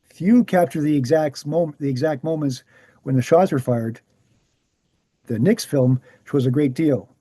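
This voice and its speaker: A man playing an earnest researcher in a screenplay table read, explaining things in a measured, assured way. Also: a little high, warm tone, no echo. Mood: matter-of-fact. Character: Gary, earnest male presenter, calm debunking researcher.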